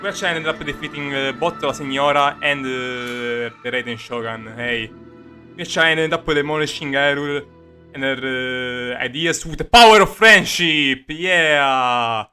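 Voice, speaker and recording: Halting Italian-accented man streaming over music. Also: medium pitch, sharp tone. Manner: halting, rambling, self-correcting delivery. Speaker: game livestreamer. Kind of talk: casual gaming stream commentary. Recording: music playing behind the voice.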